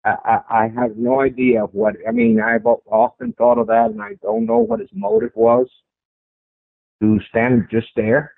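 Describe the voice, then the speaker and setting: A man's voice: mid-range voice, big pitch swings, normal speed, creaky, no echo. A man with a strong voice giving a first-person account in an interview, speaking conversationally and repeating phrases for emphasis. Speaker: interview guest recounting a personal sighting. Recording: tinny phone-like radio line.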